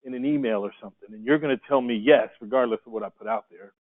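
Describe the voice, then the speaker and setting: A man's voice: medium pitch, quick pace. A man facilitating a workshop, speaking in an upbeat, coaxing way and putting questions to the room. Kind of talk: leading a group discussion. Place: conference room. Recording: tinny phone-like sound.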